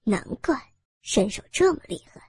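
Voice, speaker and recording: A woman voicing a lecherous, smug male gang boss in an audio story, taunting and menacing, with a husky edge. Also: a little high, animated, moderate pace, darkish tone, no echo, clean studio sound, pitched below the performer's own voice, heavily acted. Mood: mocking, smug. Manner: taunting, sneering.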